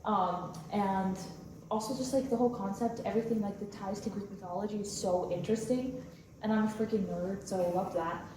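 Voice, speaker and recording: A woman's voice: medium pitch, fairly even intonation, fairly quick, warm tone. Gushing woman in an echoey room. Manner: gushing, informal, enthusiastic. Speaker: audience member giving feedback. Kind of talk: casual spontaneous feedback and questions. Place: echoey theater room, recorded from afar. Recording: echoey room, distant mic, noisy.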